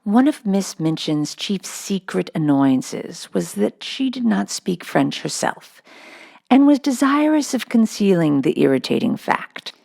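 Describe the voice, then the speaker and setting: Creaky, lower-voiced woman reading a story. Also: warm tone. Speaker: storybook reader. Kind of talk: scripted children's story narration.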